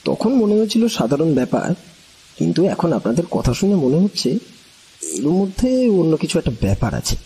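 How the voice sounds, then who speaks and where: A man's voice: on the high side, very animated, normal speed, dark tone, slight background noise, poor audio. A man voicing a respectful, puzzled younger companion recounting his experience earnestly and conversationally in an audio drama.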